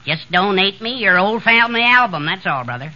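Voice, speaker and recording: An actor playing a needling, folksy small-town mayor in a 1940s radio comedy. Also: male voice, high voice, expressive, a little fast, background noise. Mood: teasing. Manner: folksy, teasing. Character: needling, wisecracking small-town mayor, male friend and rival. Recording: dull 1940s radio recording.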